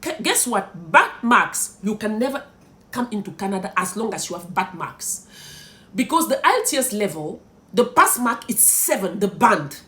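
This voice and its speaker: A woman's voice: mid-range voice, very animated, moderate pace, bright, forceful tone. A middle-aged woman from Cameroon whose first language is French, giving emphatic immigration advice to viewers and posing rhetorical questions. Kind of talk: direct-to-camera advice talk.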